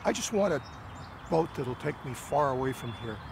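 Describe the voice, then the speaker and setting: A man's voice: medium pitch, expressive, gravelly, noisy background. A man playing a frail, sad old man who stammers and halts as he speaks. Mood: weary. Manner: halting, stammering.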